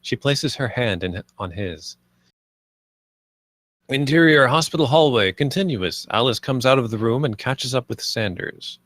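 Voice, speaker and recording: A man's voice: medium pitch, animated, a little slow, a bit bright, dry recording. A man reading stage directions as the narrator of a screenplay table read.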